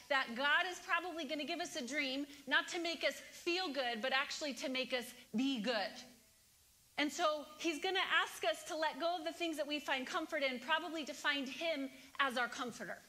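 A woman in her thirties giving a keynote sermon on a large church stage, talking to the congregation in an animated, conversational way and asking them questions. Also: high-pitched. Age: 30s. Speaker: guest speaker.

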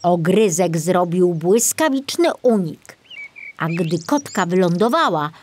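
A woman reading a children's audiobook as narrator, in an expressive storytelling style. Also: mid-range voice, slight background noise.

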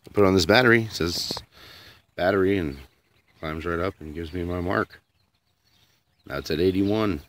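A man's voice: low voice, moderate pace, pauses often, fairly bright tone, slight background noise. A man vlogging outdoors in a sunny yard, thinking aloud in a rambling, unsure way while filming on a phone. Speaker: DIY vlogger. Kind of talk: casual thinking-aloud commentary.

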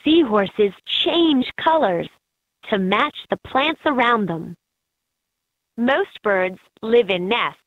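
A woman voicing an encouraging guide in a children's educational game, narrating in a clearly enunciated, instructive way. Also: on the high side, animated, takes it slowly, no echo, exaggerated voice. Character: encouraging female guide in a kids' animal learning game. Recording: muffled lo-fi handheld game audio clips.

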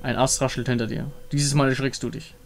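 A man's voice: mid-range voice, little pitch movement, fairly quick, a little hiss or hum. A man giving scripted narration of a game story.

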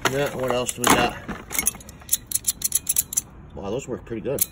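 Male treasure-hunt vlogger, casual and complaining in a playful way, recorded with very loud background rumble. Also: a little slow. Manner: grumbling, half-joking commentary. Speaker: treasure hunter going through a storage unit. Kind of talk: casual unscripted chat while sorting items. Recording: very noisy handheld recording, engine-like rumble.

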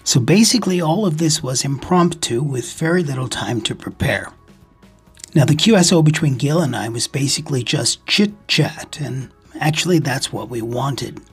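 A man giving scripted instructional narration as the host of a ham radio video, speaking in a measured, explanatory way. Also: medium pitch, expressive, husky, faint music behind.

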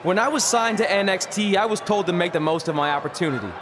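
A man's voice: high voice, fast, natural voice. A man voicing a determined young male wrestler in a video game, giving an earnest speech to the crowd. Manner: earnest, declamatory ring speech. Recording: game audio with steady background noise.

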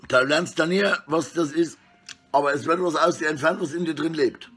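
Middle-aged man, rough husky voice, rambling slangy selfie monologue on a phone, coarse everyday phrasing. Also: a little high, fairly quick, fairly bright tone, faint music behind. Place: small indoor room at home. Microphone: phone at arm's length.

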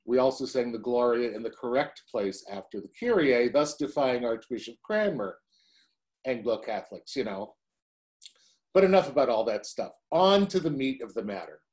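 Older male pastor, lively wry preaching. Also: mid-range voice, normal speed. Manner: conversational, wry asides. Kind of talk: sermon.

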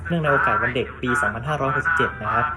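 A man's voice: mid-range voice, racing, loud music behind the voice. A man giving a polite holiday greeting to young viewers.

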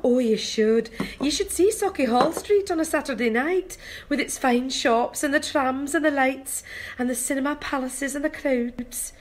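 A woman's voice: sits high, normal speed, a little hiss or hum, natural voice. A woman playing an earnest, wistful, timid Scottish farmer's wife in a homemade radio play. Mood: wistful, shy. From Glasgow, Scotland.

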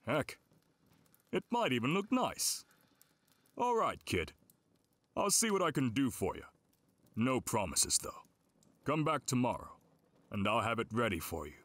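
A man's voice: mid-range voice, sing-song, measured pace, long pauses, fairly bright tone, dry recording. A man playing a brusque, good-humoured, folksy town blacksmith in a fantasy audio drama. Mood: brusque but obliging. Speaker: voice actor.

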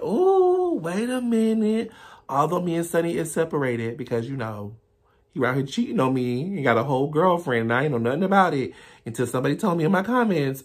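A man's voice: fairly high pitch, slightly rough. A young man reacting to a music video trailer in his living room, talking casually with playful, dramatic exclamations. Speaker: reaction YouTuber. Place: small home living room, indoors.

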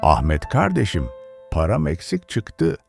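Kindly old grandfather, lively intonation. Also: on the low side, measured pace, creaky, faint music behind, full character voice. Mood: gently moralizing. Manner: instructive, gentle moralizing. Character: kind, pious village grandfather, patient and wise. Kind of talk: educational children's cartoon dialogue.

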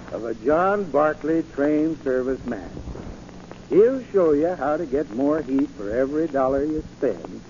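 A folksy spokesman delivers a vintage radio commercial. His voice is mellow and a little high for a man, measured in delivery, over a muffled recording. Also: slight background noise. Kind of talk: 1940s scripted radio commercial.

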